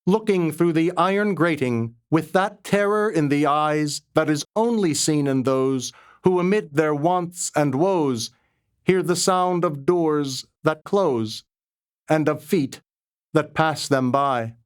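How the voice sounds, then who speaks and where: A man with a slightly high-pitched voice reads verse aloud at a very slow pace. His delivery is dramatic and reverent, each phrase set apart with deliberate pauses. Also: clear, resonant tone. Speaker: poetry reader. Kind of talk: dramatic, measured poetry recitation.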